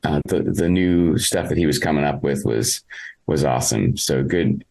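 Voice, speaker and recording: Male musician for a podcast interview: very low voice, casual, halting, thoughtful delivery. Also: vocal fry, dark tone. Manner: halting, reflective, thinking aloud. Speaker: interviewed musician, singer in a band.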